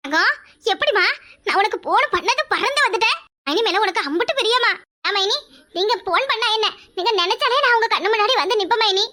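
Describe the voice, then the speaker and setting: Cheeky freeloading sister-in-law, put on by a woman, very high, bright and quick, teasing sing-song delivery. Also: animated, exaggerated voice. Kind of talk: village family comedy skit.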